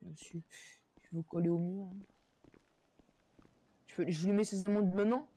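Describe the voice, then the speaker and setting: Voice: mid-range voice, fairly even intonation, moderate pace, warm tone, husky. A player role-playing a police cadet online, politely and hesitantly narrating each action over voice chat. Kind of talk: casual improvised online role-play. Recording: noisy game voice chat.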